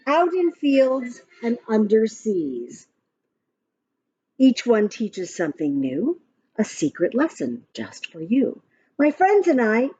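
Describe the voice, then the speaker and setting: A woman reading a rhyming picture book aloud to young children in an expressive, sing-song way, with counting and little asides. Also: fairly high pitch, a little hiss or hum. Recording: muffled lo-fi audio with background music. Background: music from a picture-book app.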